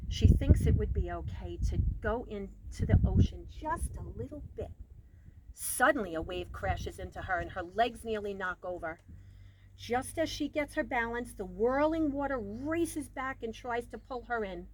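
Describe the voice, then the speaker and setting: A woman's voice: a little high, moderate pace, low-quality recording. A woman reads a children's picture book aloud expressively, leaning on key words and varying her volume. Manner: expressive, with emphasized words and varied dynamics. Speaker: storybook reader. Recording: clear background noise.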